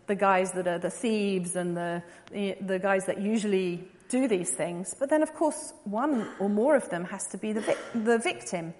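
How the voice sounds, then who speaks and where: An English woman in her forties speaks to a church gathering in an easygoing, chatty way, filling pauses with ums and dropping in light laughs. Her voice sits at a middling pitch for a woman. It carries a long reverb, as if the talk was recorded in a big church hall. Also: a little mellow. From England. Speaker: missionary speaker. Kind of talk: informal talk to a church audience.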